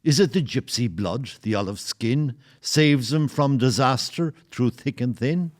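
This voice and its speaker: A man playing the solemn narrator of a historical audio play, with measured, literary, grave delivery. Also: medium pitch, lightly acted. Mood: wondering. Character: solemn storyteller narrator of old sea tale. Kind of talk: scripted dramatic narration.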